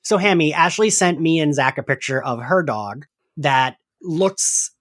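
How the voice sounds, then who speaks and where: Male host for a casual recap chat: very animated delivery, giggly and meandering. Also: fairly high pitch, unhurried. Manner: giggly, filler-heavy, rambling. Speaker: podcast host. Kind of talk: casual chatty recap discussion.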